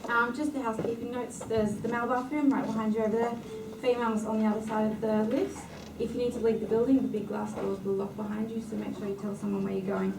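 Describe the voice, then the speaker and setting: A woman hosts a tech meetup, briskly reading housekeeping notes and introducing the next speaker. Her voice is a little high and gravelly with fry. She sounds far from the mic in an echoey room with steady background noise. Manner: brisk, reads housekeeping notes with many "uh" fillers. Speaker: meetup host.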